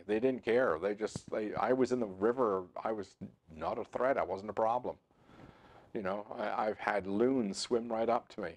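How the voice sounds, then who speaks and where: A man in his sixties hosting a fly fishing channel, talking to camera in a relaxed, conversational way with frequent fillers and restarts, seated in a home office. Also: on the low side. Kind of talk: to-camera instructional talk. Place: home office with computer screens, indoors.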